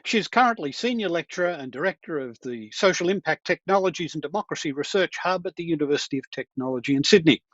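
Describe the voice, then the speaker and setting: A man's voice: a little high, animated, quick pace, hardly any pauses, a bit bright, no echo. Man introducing a guest, dull dry sound. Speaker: webinar host. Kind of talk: formal introduction.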